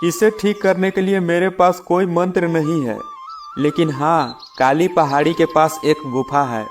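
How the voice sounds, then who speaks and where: A man's voice: a little high, fairly even intonation, a little slow, faint music behind, voice pushed up, close to an everyday voice. A man voicing a stern village holy man and mystic, grave and admonishing, in a children's moral story. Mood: instructing.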